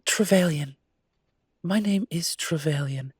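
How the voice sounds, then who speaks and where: Man playing a courteous, higher-voiced coffee shop owner. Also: takes it slowly, husky, dry recording, clean studio sound. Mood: apologetic, friendly. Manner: courteous, formal, effusive. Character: Trevelyan, polite, eager male coffee shop owner. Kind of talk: roleplay audio drama acting.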